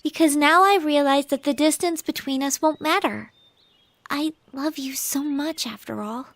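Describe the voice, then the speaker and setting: A woman's voice: high voice, moderate pace, a bit bright, no echo. An American woman voicing a bashful, earnest teenage girl in love in an anime game dub, perky and easily flustered. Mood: tender.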